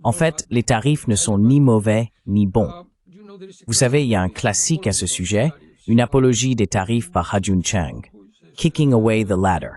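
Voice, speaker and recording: Guy w/ lowish voice doing translated interview voiceover, explaining stuff. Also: expressive, moderate pace, warm tone. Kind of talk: dubbed interview commentary.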